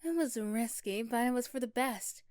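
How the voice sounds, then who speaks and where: A woman reading scripted second-person story narration for ASMR, soft and hushed, often slipping toward a whisper. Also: fairly high pitch, normal speed, smooth, no echo, clean studio sound. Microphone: close, dry microphone.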